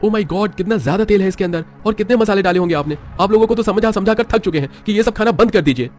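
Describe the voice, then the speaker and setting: A man's voice: high voice, fast talker, darkish tone, voice pushed up, close to an everyday voice. A man voicing a fussy, mocking young food snob in a story, whiny and condescending. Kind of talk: cartoon moral-story acting.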